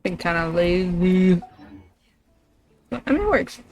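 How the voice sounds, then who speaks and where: A woman's voice: fairly low pitch, sing-song, a little slow, a little mellow, lo-fi sound. A woman livestreaming gameplay, chatting casually and thinking aloud in loose, rambling asides. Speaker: streamer.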